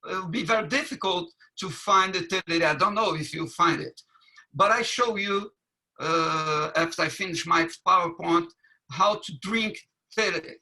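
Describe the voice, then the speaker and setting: A man giving a webinar presentation, halting with many fillers and restarts. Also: sits high, bright, forceful tone, poor audio. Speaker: business presenter.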